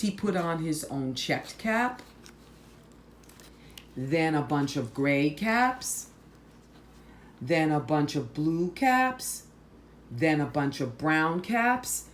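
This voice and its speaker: A woman reading a picture book aloud to children, phrasing deliberately and stressing words for drama. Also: low voice, big pitch swings. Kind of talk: slow, expressive picture-book read-aloud.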